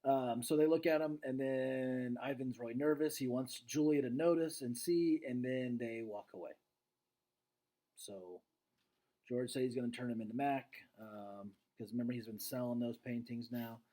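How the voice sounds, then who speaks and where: A man's voice: mid-range voice, fairly even intonation, moderate pace, warm tone. A man talking casually as he recaps a storybook for listeners, often checking in with a tag question. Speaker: reader and teacher figure recapping a book.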